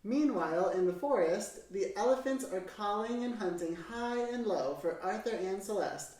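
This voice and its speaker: A woman reading a children's story aloud in an expressive, measured, theatrical way. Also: mid-range voice. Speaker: storybook narrator. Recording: very echoey room, distant mic, music. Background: piano music.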